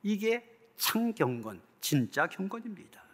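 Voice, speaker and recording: Korean-style sermon from a male pastor, earnest and emphatic, highish voice, big echoey church hall. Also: very animated, a little slow, breathy edge. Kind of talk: sermon preaching, closing in prayer. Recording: long hall reverb.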